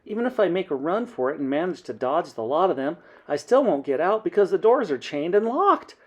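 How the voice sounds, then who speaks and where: A man's voice: high-pitched, sing-song, a little fast, pitched above the performer's own voice. A frightened young boy in a children's storybook, played by a man, pleads in a raised, swooping voice. He is panicky and cries out in terror. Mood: despairing, frightened. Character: terrified young boy cornered by witches. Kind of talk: dramatised children's storybook reading.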